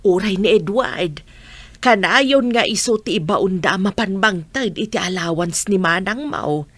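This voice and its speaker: A woman's voice: mid-range voice, lively intonation, measured pace, a bit bright, no echo. A woman acting as an earnest mother talking with her family in an audio drama. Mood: fond.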